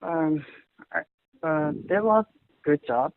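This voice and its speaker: Halting Korean-accented young man, gravelly. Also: fairly high pitch, lively intonation, no echo. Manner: halting, searching for words, frequent restarts. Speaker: interviewee. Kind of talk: casual conversation in English. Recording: tinny phone-like audio.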